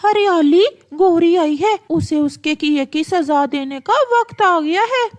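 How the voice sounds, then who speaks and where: Excitable parrot husband for kids' cartoon, played by a woman; piercingly high, emphatic delivery. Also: slow pace. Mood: pleading, earnest. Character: excitable, hot-tempered male parrot husband, gullible and remorseful. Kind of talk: Hindi children's cartoon voice acting.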